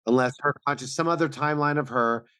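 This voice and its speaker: A man's voice: fairly high pitch, quick pace, pushed and bright, clear, resonant tone, no room sound, clean studio sound. A man co-hosting a podcast in casual, informal conversation, with some swearing. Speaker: podcast co-host.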